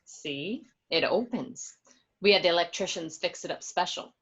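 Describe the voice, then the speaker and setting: Woman playing husky, boastful gangster's wife. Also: fairly low pitch, expressive, moderate pace, a bit bright, dull audio, exaggerated voice. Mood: boastful, excited. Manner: boastful, showy. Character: Karen, proud gangster's wife showing off her new house. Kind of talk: film script read in radio-play form.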